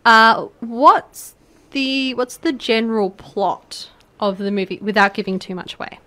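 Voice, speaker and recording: A woman's voice: on the high side, bright, forceful tone. Aussie woman on community radio, chatty, lots of um and like, keeps the questions coming. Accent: Australian. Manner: chatty, lots of fillers and restarts, quick to laugh. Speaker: guest interviewer asking questions. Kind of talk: casual radio chat.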